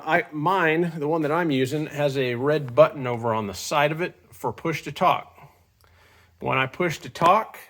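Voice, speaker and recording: A middle-aged man giving a casual, plain-spoken walkthrough of off-road gear in an informal, enthusiastic way. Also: medium pitch. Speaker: off-road hobbyist reviewing gear. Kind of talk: casual product walkthrough vlog.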